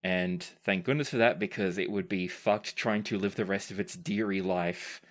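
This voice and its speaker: A man hosting a podcast as game master, reading and answering listener questions in measured, deliberate phrasing. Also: low voice.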